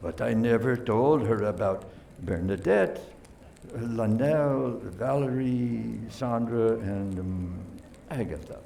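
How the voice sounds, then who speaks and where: A man acting in a radio play as a self-pitying philanderer, gravelly, halting and melodramatic. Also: medium pitch, warm tone, slight background noise. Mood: sheepish, pleading.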